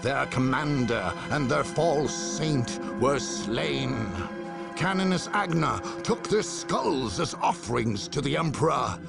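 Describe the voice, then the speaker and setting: A grave war chronicler, narrates a game interlude slowly and deliberately. His solemn, theatrical delivery swings widely in pitch, with music behind it. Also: lively intonation, creaky, heavily acted. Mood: grave, dramatic. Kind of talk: dramatic scripted narration for a game.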